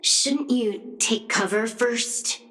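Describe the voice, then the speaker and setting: A woman's voice: on the high side, very slow, fairly bright tone. Cool young hacker girl from a video game, dry and teasing, unbothered, big echoey reverb. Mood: calm, explaining. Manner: laid-back, dry, teasing. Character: cool, wry young female hacker companion. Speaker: game character voice actor. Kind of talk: video game dialogue acting.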